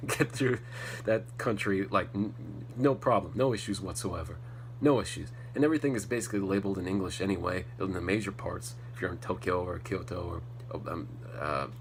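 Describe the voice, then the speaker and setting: A low-pitched American man hosts a solo podcast in a loose, rambling, blunt style peppered with swearing. He sounds a little stuffed up from a cold. The audio is poor, with a faint hum and noise underneath. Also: fairly quick. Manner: blunt, ranting, heavy casual swearing. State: slightly congested from a cold. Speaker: podcast host. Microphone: faulty setup, not the intended microphone.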